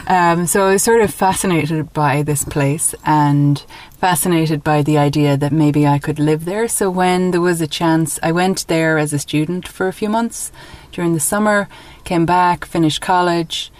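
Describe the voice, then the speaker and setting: A woman with an Irish accent speaks in a low voice. She is a guest in a conversational interview, sharing personal stories in an informal way. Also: fairly even intonation, moderate pace, hardly any pauses, a little mellow. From Dublin, Ireland.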